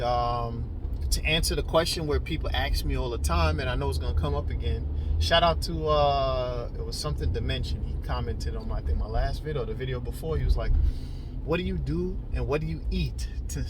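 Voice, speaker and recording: A man's voice: mid-range voice, noisy background. A man in his early forties chatting casually to camera as a video blogger, in a slangy, joking, conversational way. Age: early 40s.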